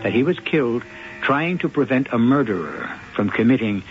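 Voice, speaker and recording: A man's voice: mid-range voice, lively intonation. Ominous male mystery narrator over music. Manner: ominous, measured. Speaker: host and narrator. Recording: dull vintage radio broadcast. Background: dramatic music.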